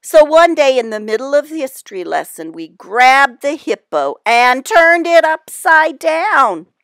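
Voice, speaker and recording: A woman reading a children's picture book aloud, with expressive emphasis and dramatic pauses. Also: sits high, no room sound. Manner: deliberate, dramatic pauses, animated emphasis for young listeners.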